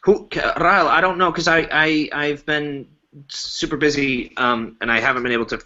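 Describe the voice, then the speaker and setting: Bright-voiced man, hesitant and casual. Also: on the high side, steady tone, normal speed. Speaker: podcast panellist, improviser. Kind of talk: casual podcast chat. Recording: dull lo-fi podcast recording.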